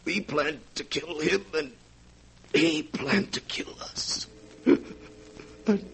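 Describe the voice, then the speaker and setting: An actor playing a dying murderer, halting and gasping, in a melodramatic 1940s radio horror drama. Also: male voice, on the high side, creaky, fairly bright tone, a little hiss or hum, exaggerated voice, poor audio. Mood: bitter, fading. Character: dying male murderer grasping a bitter irony. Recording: vintage 1940s radio recording, music behind.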